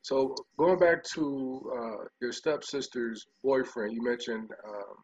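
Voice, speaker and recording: A man's voice: mid-range voice, slow, a little mellow, breathy edge. A man asking interview questions conversationally, hesitating and rephrasing, picked up dully from a distance. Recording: dull, muffled, distant pickup.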